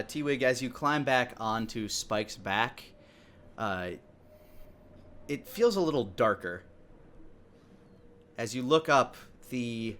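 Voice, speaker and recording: A man's voice: mid-range voice, bright, forceful tone. A man narrating a tabletop fantasy game as dungeon master, explaining things in a somewhat hesitant, improvised way.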